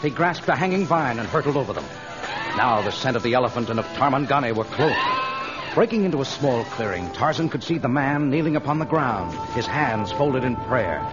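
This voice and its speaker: A man's voice: mid-range voice, expressive, a little fast, over music. A man giving scripted dramatic narration in the manner of an old radio adventure serial. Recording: dull vintage radio transcription.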